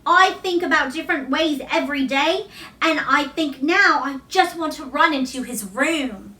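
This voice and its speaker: An earnest young boy voiced by a female reader speaks with eager wonder in a storybook. The voice is high and bright, a little distant in an echoing room. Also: normal speed. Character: earnest, excitable young boy, recovering invalid. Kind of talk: children's storybook read-aloud. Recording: roomy echo, distant microphone.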